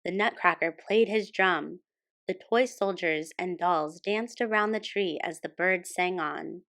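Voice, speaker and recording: A woman's voice: lowish voice, unhurried, a bit bright. Woman reading a children's storybook aloud. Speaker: storytime host and reader. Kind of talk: children's picture book read-aloud, scripted narration.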